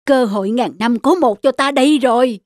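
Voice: high-pitched, moderate pace, no room sound, clean studio sound, natural voice. An actor voicing a sly, scheming wildcat who gloats and talks pompously as a false forest god. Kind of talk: children's animated fairy tale villain. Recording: music behind the voice.

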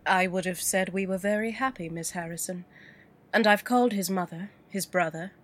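A woman's voice: lowish voice, lightly acted. A woman playing a poised, composed rich client. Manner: cool, measured, dryly composed. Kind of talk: audio drama acting.

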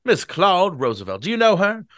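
A man voicing a stout, drunk middle-aged man who talks loudly and excitedly and repeats himself, while reading a novel aloud. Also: high-pitched, normal speed, no echo, clean studio sound, pitched above the performer's own voice. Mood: drunk, rambling.

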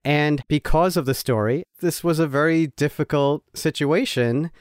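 A man's voice: on the high side, moderate pace, clean tone, no echo, clean studio sound. A man co-hosting a podcast, talking enthusiastically and repeating phrases for emphasis. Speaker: podcast co-host. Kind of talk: casual show intro chat.

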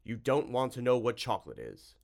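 A man's voice: fairly low pitch, lively intonation, moderate pace, a bit bright. Man as a grave, commanding storybook hero. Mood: grave warning. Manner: earnest, stern. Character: grave, commanding male monster-hunting hero with whip.

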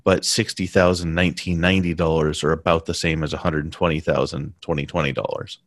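A man talking casually in a conversation, pausing and restarting his sentences often. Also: rumbling low, little pitch movement, no echo. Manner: halting, many restarts and fillers.

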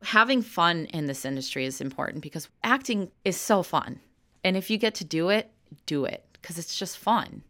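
A woman's voice: fairly low pitch, a bit bright. A woman in her thirties, an actor and comedian, answering interview questions frankly and laughing easily. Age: 30s.

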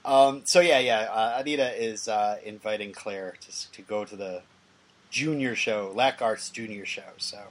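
A man's voice: fairly low pitch, normal speed. A man hosting a podcast, casually recapping a TV episode in a hesitant, conversational way. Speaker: podcast host.

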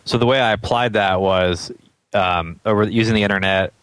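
A man's voice: fairly low pitch, moderate pace, fairly bright tone, clear, resonant tone, no room sound. A man from Atlanta, a startup founder as an interview guest, thinking aloud in casual chat with many ums and frequent chuckles.

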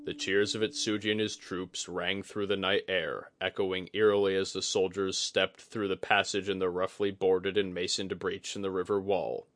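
A man's voice: deep voice, level tone, moderate pace, few pauses, a bit bright. A man narrating a fantasy story as a dramatic, scripted audiobook reading.

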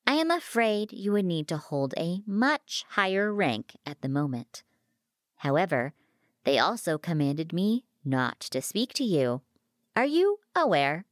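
A woman voicing a sly, polite fabricator machine that speaks in a formal, measured, teasing way in an audiobook story. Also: medium pitch, dry recording, studio quality.

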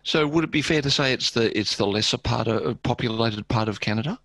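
A man's voice: mid-range voice, a little fast, fairly bright tone, dry recording. A man interviewing in a conversational way, checking and rephrasing as he asks.

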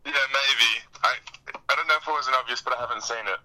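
A man's voice: mid-range voice, fast, bright, forceful tone. A man chatting casually as a guest on a tinny phone call. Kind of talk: casual chat. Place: on a phone, parked car.

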